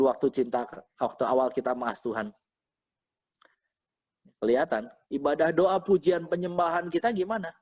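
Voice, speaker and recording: A man preaching as a pastor in an informal, interactive way, repeating phrases and checking in with listeners. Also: fairly high pitch. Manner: interactive, often checking with listeners using short questions; animated, exhorting, repeats phrases. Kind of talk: informal conversational sermon to an online congregation.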